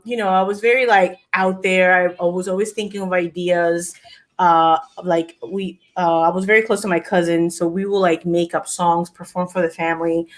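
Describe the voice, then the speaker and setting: A woman in her forties from Peru, telling a personal story in a casual chat with many fillers and restarts, recorded over a video call. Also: lowish voice. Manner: animated, laughs often, many fillers and restarts. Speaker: co-host.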